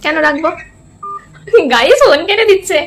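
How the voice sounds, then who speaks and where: A woman's voice: very high voice, animated, normal speed. A woman chatting casually as a prank call host, teasing and insistent. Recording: clearly noisy background.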